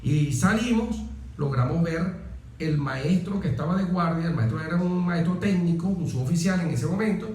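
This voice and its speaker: A man's voice: fairly high pitch, moderate pace, a little mellow, a little hiss or hum. Echoey, distant Venezuelan man telling anecdotes. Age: middle-aged. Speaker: interview guest, former military aide. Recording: echoey room, distant from the mic.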